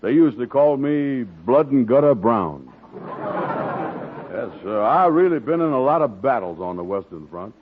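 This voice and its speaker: A man's voice: medium pitch, expressive, unhurried, slight background noise, lightly acted. An actor playing a bumbling man who poses as a soldier, stammering and bluffing in a 1940s radio comedy. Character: bumbling smooth-talking man posing as soldier. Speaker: Andy, comic lead. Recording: tinny old radio broadcast.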